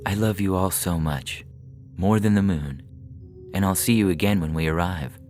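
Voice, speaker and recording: A man plays a devoted spaceship mother in an audio story. She is tender yet firm and keeps her dread hidden, pitched above the reader's deep narration, over faint music. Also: moderate pace, a little mellow, close to an everyday voice. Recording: music behind the voice.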